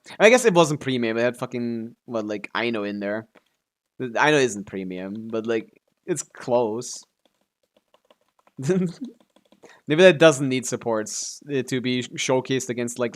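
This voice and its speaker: A man's voice: mid-range voice, measured pace. A man live streaming a video game, chatting casually in a laid-back way with some offhand swearing as he comments on play.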